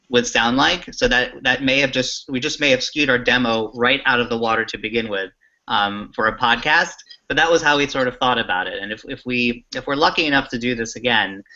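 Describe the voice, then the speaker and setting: Bright-voiced man hosting, slightly muffled call. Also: medium pitch. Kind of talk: casual hosting talk. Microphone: video call. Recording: dull lo-fi online call audio.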